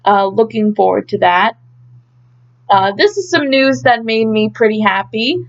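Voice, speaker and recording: A woman's voice: a little high, expressive, a little slow. A woman hosting an idol news update as a casual recap, chatty and a bit hesitant as she thinks aloud, on a slightly dull home recording.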